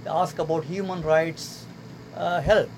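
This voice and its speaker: A man in his sixties from Sri Lanka, speaking earnest, halting English as a guest in a casual radio interview. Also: a little high, steady tone, a little hiss or hum. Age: 60s. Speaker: guest artist.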